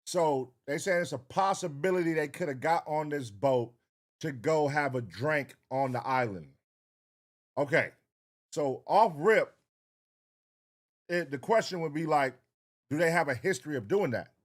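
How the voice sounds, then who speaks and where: A man's voice: a little high, a little slow, pauses often. An American man hosting a reaction show, giving casual, profane commentary in a blunt, slangy way. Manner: blunt, slangy, animated quoting of imagined dialogue. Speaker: reaction video host.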